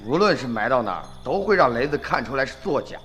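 A man acting a wily, smooth-talking crook in a radio drama, cajoling and sly with an undercurrent of menace. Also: mid-range voice, very animated, fast, fairly bright tone. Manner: cajoling, sly, interjection-laden. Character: wily, smooth-talking tomb-robber dealer, quietly menacing.

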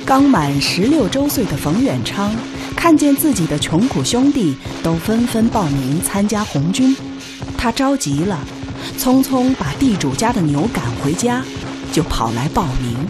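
A woman's voice: mid-range voice, very animated, a little slow. A woman delivering scripted narration for a radio drama. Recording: clear music behind the voice.

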